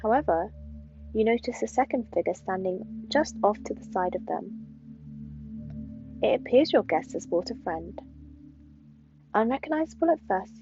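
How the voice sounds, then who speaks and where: Soft-voiced young woman reading cards. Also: mid-range voice, gravelly, muffled recording. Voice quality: soft soothing voice. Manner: chatty and rambling with fillers, later slow and hushed. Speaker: tarot card reader. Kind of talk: casual pick-a-card reading, then guided meditation. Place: small home room with bookshelves, indoors.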